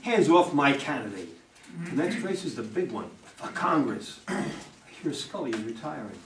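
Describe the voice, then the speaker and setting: Distant, animated, brash campaign manager played by a man. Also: mid-range voice, normal speed. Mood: brash, bossy. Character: brash male political campaign manager, cynical and pushy. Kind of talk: amateur staged play reading. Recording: distant, echoey room, faint noise.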